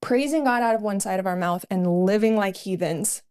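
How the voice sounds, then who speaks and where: A woman's voice: medium pitch, moderate pace, darkish tone, clear, resonant tone. A middle-aged woman co-hosting a podcast, telling her personal testimony in an earnest storytelling way with frequent fillers. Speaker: podcast co-host.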